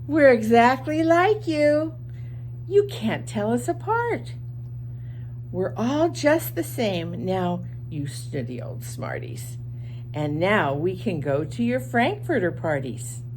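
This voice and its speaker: Lady doing an excitable snobby storybook critter, loud exclaiming, pitched up and swoopy, noisy recording. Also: slow pace. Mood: gloating. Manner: exclaiming, emphatic. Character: excitable, boastful storybook creatures, squabbling over status. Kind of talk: children's storybook read-aloud.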